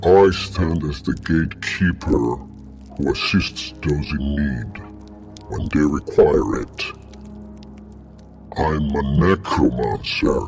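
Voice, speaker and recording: A man playing a solemn ancient necromancer gatekeeper in an audio drama, gravelly, speaking in portentous, archaic formal phrases. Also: a bass voice, slow, pauses often, darkish tone, soft background music, full character voice. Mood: solemn welcome.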